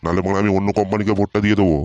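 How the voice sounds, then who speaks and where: A man's voice: fairly low pitch, fairly even intonation, very fast, muffled recording, over music, natural voice. A man voicing a foul-mouthed, irritable old village grandpa in a comedy cartoon, scolding stubbornly. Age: older. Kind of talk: Bengali comedy cartoon voice acting. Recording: noisy audio with clicks.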